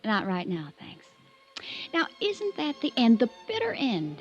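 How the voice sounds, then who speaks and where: Casting: bitter young heiress, higher voice, mocking theatrical bite, dull vintage radio sound. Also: female voice, huge pitch swings, measured pace, faint music behind. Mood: sarcastic, bitter. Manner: arch, sarcastic, theatrical. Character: bitter, mocking young rich rancher's daughter, spiteful and wounded. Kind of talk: 1950s radio drama acting.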